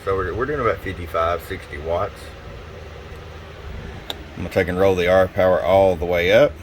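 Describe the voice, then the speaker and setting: A man's voice: low-pitched, moderate pace. A man giving a casual, folksy, unscripted product demonstration as a radio technician, talking directly to a customer. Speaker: radio technician presenting tune-up reports. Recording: clear steady background hum and noise.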